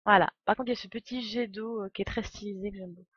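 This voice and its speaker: A woman's voice: medium pitch, steady tone, fast talker, breathy edge, no echo, muffled recording. A woman doing casual, playful, rambling live commentary on a game. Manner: playful, giggly, exclamatory, rambling. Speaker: game streamer.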